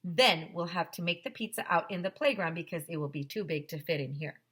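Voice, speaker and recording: A woman's voice: fairly low pitch, fairly quick, a bit bright, husky. A woman voicing an eager, commanding grown man in a children's storybook.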